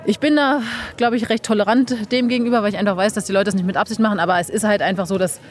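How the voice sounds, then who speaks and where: A woman's voice: mid-range voice, fairly even intonation, racing, soft background music. A young woman, a bobsleigh pilot, answering interview questions frankly and casually, with many fillers. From Germany.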